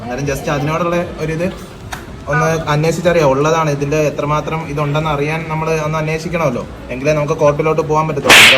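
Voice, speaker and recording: A man's voice: a little high, noisy background, natural voice. A man playing a child helpline officer on a prank call, politely but hesitantly questioning someone about a complaint. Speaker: prank caller. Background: loud music.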